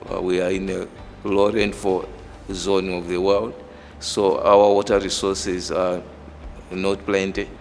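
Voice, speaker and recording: Very low-voiced Malawian man, reverberant sound. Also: level tone, takes it slowly, a little hiss or hum. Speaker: engineering professor. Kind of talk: interview monologue explaining own work and background. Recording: reverberant hall, music underneath. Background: background music.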